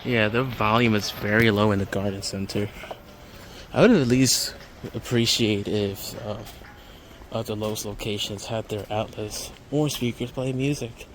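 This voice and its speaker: A man's voice: lowish voice, fairly even intonation, a little slow, slight background noise, poor audio. A man giving casual, matter-of-fact walk-through commentary as a hobbyist vlogger inside a large store. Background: background music in a store.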